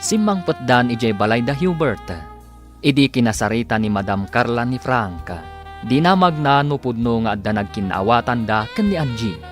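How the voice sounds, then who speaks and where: A man's voice: medium pitch, lively intonation, normal speed. A man with a Filipino accent delivering dramatic scripted narration for a radio drama. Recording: music under the voice. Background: music.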